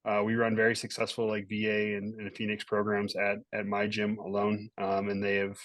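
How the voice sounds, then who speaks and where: A man hosts an online meeting in a casual, encouraging way. His voice is on the low side and stays quite even, captured close and dry with little room sound. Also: moderate pace, no echo. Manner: encouraging, informal. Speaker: host, gym owner. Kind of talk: casual closing remarks on a group video call.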